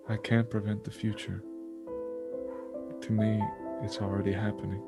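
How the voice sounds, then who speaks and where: A man playing a calm, melancholy godlike superhuman in a fan-made drama, speaking in a measured, detached way. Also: lowish voice, creaky. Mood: resigned. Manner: flat, measured, detached delivery. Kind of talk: dramatic monologue in a fan-made online play. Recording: music behind the voice.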